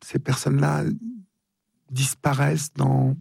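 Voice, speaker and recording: A man hosts a live call-in radio show from the studio, speaking in a gravelly, husky voice. He stays gentle and courteous, pausing often with fillers. The sound is dry and close. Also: medium pitch, monotone, dry recording. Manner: hesitant, many fillers, gentle and polite. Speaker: radio talk show host. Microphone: studio microphone.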